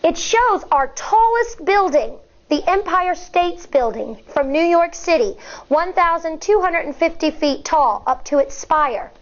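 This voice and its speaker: An American woman, a schoolteacher reading a children's book aloud in a deliberate, instructive way, explaining as she goes. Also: extremely high pitch, animated, muffled recording.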